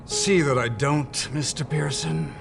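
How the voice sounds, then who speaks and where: An actor playing a stern, skeptical intelligence chief in a video game, with a formal, measured, dry delivery. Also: male voice, a little high, vocal fry. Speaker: superior officer. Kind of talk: video game cutscene acting. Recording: game dialogue over background music.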